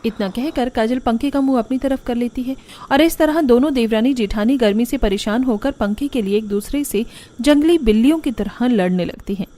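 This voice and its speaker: A woman's voice: fairly high pitch, normal speed, dark tone. A woman giving scripted narration for a cartoon family story.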